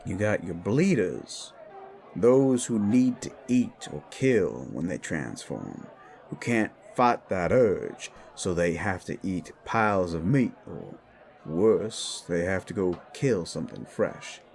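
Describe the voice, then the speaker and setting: Man acting a slow, gravelly werewolf mentor. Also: low-pitched, big pitch swings, a little mellow, full character voice. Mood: measured, wry. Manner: measured, wry, explanatory. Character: laid-back, streetwise male werewolf mentor explaining shifter lore. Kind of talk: audio drama dialogue.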